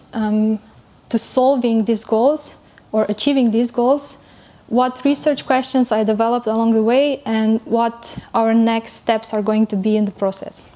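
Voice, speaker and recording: A woman giving an academic conference presentation in English, speaking carefully with frequent pauses and fillers. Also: on the high side, mellow. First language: not German.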